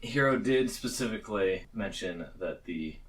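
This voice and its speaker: A man's voice: fairly low pitch, expressive, normal speed, far from the mic, a loud crowd. A man running a tabletop roleplaying game as game master, mixing scene narration with wry, jokey banter.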